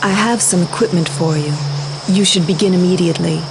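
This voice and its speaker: A woman performs the grave leader of a secret network in a game cutscene. Her voice sits a little low and she speaks formally, with measured authority. Music runs underneath. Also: close to an everyday voice. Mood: stern, commanding. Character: solemn, commanding female leader of secret agents. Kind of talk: dramatic video game cutscene dialogue.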